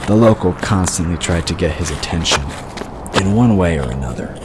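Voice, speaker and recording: A man's voice: sits low, lively intonation, moderate pace, background noise. A man reading scripted fiction narration as an audiobook narrator, in a storytelling style. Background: outdoor ambience and water sound effects.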